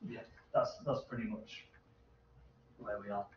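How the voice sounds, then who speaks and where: A man's voice: on the low side, steady tone, unhurried, far from the mic. A man in his thirties answering audience questions as a seminar presenter, explaining things informally and hesitantly. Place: university seminar room, indoors. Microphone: distant camera microphone. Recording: echoey room from afar, dull, hissy.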